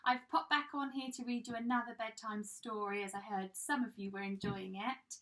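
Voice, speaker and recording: A woman reading a bedtime story to young children like a teacher, coaxing them gently with an animated delivery, recorded some way from the mic. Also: fairly high pitch, moderate pace. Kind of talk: children's storytime read-aloud with questions to listeners. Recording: voice distant from the mic.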